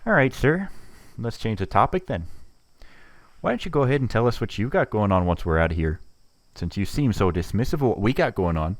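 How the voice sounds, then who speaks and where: A man's voice: fairly low pitch, animated, fairly quick, no echo. A man playing an easygoing working-man mercenary in a sci-fi audio drama, casual and teasing in his talk.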